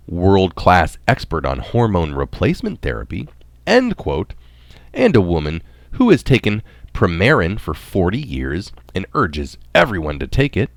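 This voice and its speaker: Animated man reading aloud, very dry sound. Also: sits low, no room sound. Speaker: reader of recorded articles. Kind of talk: read-aloud article narration.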